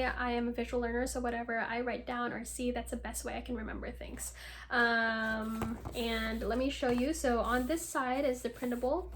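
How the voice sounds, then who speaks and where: Chatty woman vlogger, noisy background. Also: fairly high pitch. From United States. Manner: chatty, many ums and likes. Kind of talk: casual talk to camera.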